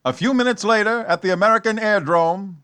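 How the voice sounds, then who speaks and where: A man's voice: high-pitched, brisk, bright, forceful tone, clean tone. A man acting as an urgent, dramatic narrator of a scripted radio serial. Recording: light background noise.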